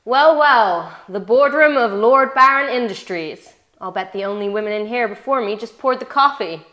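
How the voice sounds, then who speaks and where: A woman's voice: fairly high pitch, expressive, moderate pace, a bit bright. A woman playing a haughty, commanding female shareholder in a 1980s boardroom drama read-through. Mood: smug. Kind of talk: scripted drama table read.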